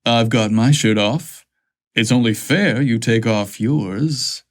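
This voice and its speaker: A man plays a male corporate boss in an audio story, athletic and sure of himself. He talks in a mid-pitched voice and takes his time over each phrase. His manner is flirtatious and smooth. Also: slow, close to an everyday voice. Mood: flirty.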